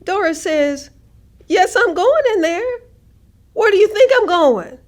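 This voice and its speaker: A woman actor performing an animated storyteller in a staged reading, acting out quoted exchanges with dramatic emphasis. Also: very high-pitched, measured pace. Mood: excited, bold. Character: animated woman storyteller quoting her bold friend. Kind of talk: staged reading of oral history.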